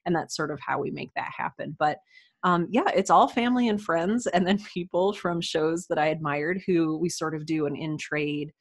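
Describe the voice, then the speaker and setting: Low-voiced woman chatting as a guest. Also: moderate pace. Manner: animated, chatty, self-quoting storytelling. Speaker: podcast guest, writer and audio drama creator. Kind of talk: casual interview chat. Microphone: USB desktop microphone.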